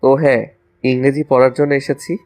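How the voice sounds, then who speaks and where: একজন পুরুষের অভিনয়ে দুষ্টু, রোমান্টিক কলেজপড়ুয়া ছেলে, পেছনে বাজনা. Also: male voice, mid-range voice, little pitch movement, moderate pace, darkish tone, faint music behind, close to an everyday voice. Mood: playful, mock-innocent. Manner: playful, mock-innocent, teasing. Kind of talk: audio romance story acting.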